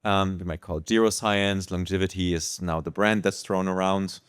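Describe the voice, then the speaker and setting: A man's voice: low voice, steady tone, normal speed, smooth, no room sound, studio quality. A man hosting a podcast, introducing and questioning a guest in a conversational interview style. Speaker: podcast host.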